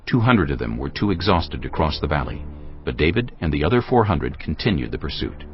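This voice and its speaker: A man narrating dramatized scripture in a solemn, measured storytelling manner. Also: a bass voice, very animated. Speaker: Bible narrator. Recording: dull audio with music behind. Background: background music.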